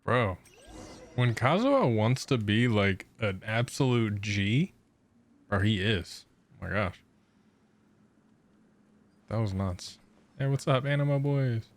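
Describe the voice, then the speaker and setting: A man's voice: fairly low pitch, lively intonation, takes it slowly, pauses often, a little hiss or hum. A man doing casual, slangy gameplay commentary as a game streamer. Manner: slangy, informal.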